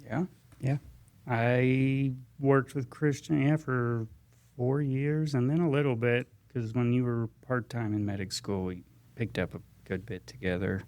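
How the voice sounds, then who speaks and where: The American guy with a fry-edged voice on a work podcast, feeling his way through each answer in halting, relaxed conversation. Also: medium pitch. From Texas and Georgia, US. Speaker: guest paramedic.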